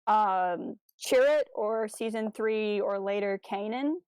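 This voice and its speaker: A woman's voice: mid-range voice, slow, darkish tone, clear, resonant tone, dry recording, low-quality recording. A woman co-hosting a casual fan podcast, chatting with playful, jokey enthusiasm and drifting into asides. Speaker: podcast host.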